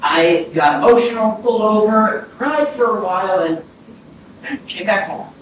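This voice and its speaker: A woman's voice: mid-range voice, lively intonation, a little slow, natural voice. A woman playing a troubled, guarded man answering police questions hesitantly in a staged play reading. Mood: uneasy. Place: arts centre theatre. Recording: echoey theatre, distant mic, room noise.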